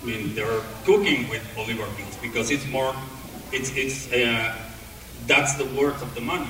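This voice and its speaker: A man with a non-native English accent giving a talk to an audience, speaking haltingly with many pauses and restarts. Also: mid-range voice, bright, forceful tone, slight background noise. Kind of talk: presentation to an audience. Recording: echoey hall, voice from far off.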